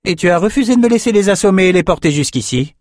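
A man's voice: mid-range voice, animated, brisk, darkish tone, no echo, clean studio sound, close to an everyday voice. A man voicing a blunt, combative warrior woman in a fantasy audiobook. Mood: annoyed.

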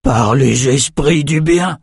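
A man's voice: lowish voice, normal speed, a little mellow, slightly rough, no room sound, studio quality. A man voicing a troubled male sorcerer in a fantasy audiobook, frustrated, doubtful and emphatic. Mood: alarmed.

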